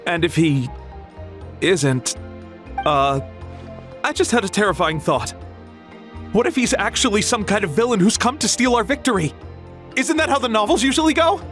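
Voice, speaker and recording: An actor playing an excitable, imaginative young man in a game, talking in an eager, speculative way. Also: high-pitched, moderate pace, long pauses, faint music behind. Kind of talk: game voice acting.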